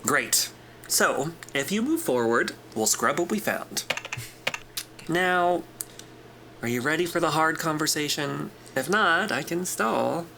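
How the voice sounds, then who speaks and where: A man's voice: a little high, animated, takes it slowly, vocal fry, noisy background, exaggerated voice. A man acting an easygoing corporate interviewer in a sci-fi audio drama, informal, tactful and reassuring. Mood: agreeable. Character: smooth, easygoing corporate interviewer at aerospace company.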